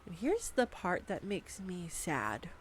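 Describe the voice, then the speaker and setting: A woman's voice: on the low side, very animated, takes it slowly, slight background noise. A young woman performing a scripted girlfriend roleplay monologue, chatty and giggly, talking to the listener. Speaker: voice actor playing a girlfriend. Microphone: studio condenser mic.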